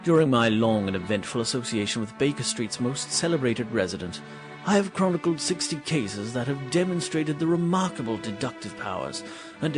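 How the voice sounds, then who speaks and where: An actor playing an earnest gentleman chronicler narrating a comic audio drama, formal and measured. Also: medium pitch, lightly acted.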